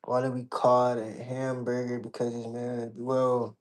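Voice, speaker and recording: Guy from georgia being interviewed, mid-pitched voice, blunt, super slangy, cusses a lot. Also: monotone, measured pace. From Georgia, United States. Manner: blunt, slangy, heavy swearing. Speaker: interview guest. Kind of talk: casual chat.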